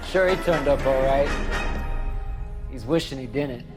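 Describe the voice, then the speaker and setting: A man's voice: mid-range voice, measured pace, over music, lightly acted. An actor in a noir video game playing a cocky, cruel 1940s gangster henchman with a taunting drawl. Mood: gloating.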